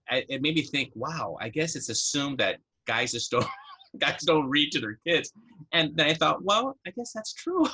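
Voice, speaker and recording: A middle-aged man co-hosting a podcast in casual chat, with a husky voice. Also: medium pitch, sharp tone, low-quality recording. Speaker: podcast co-host.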